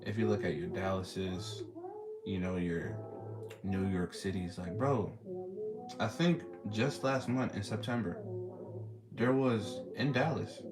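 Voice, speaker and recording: A young man with a Texan drawl talks in a loose, slangy way as he hosts a casual stream. His voice sits low. Loud music plays underneath the whole time. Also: slow, long pauses. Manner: laid-back, slangy, reading and answering chat. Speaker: streamer host. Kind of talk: casual live chat with callers. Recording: loud music playing behind.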